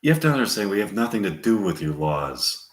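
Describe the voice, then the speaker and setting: An indignant woman of film drama, read by a man, protests firmly for her community. The delivery is low-key for the voice, in an echoing room. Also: big pitch swings, fairly quick. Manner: firm, protesting. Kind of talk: movie dialogue reading. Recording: roomy, echoing space.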